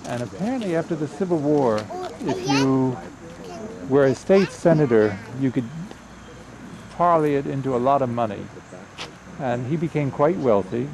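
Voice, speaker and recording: A soft-spoken man in his eighties from Pennsylvania, reminiscing as he guides his family around outdoors, halting with many pauses and restarts. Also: medium pitch, lively intonation, vocal fry, a crowd in the background, low-quality recording. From Pennsylvania, USA. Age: 80s. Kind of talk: reminiscing storytelling. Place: outdoors by a roadside house. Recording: outdoor camcorder with traffic noise.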